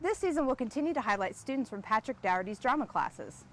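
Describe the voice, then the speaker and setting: A high-pitched woman host presents in a very animated, scripted way. There is some ambient noise behind her voice. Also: quick pace. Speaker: show host. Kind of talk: scripted presenting with staged banter.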